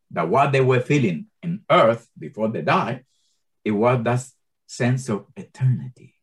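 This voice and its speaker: Impassioned man in his sixties teaching scripture. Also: mid-range voice. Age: 60s. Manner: non-native English with grammar slips; impassioned, many stammered restarts. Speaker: Bible teacher. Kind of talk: informal Bible teaching to a small group.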